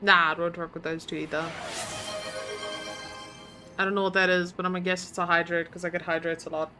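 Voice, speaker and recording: A woman's voice: lowish voice, level tone, a little fast, pushed and bright, soft background music. A woman streamer casually commenting on her game, muttering to herself while solving puzzles and chatting with viewers.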